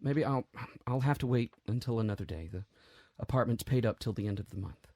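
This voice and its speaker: An actor plays a grieving man in an audio drama, gentle and weighed down by guilt. His husky voice is close and dry. He speaks haltingly and earnestly, as if explaining something impossible. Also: mid-range voice, no room sound, lightly acted. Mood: tender, subdued.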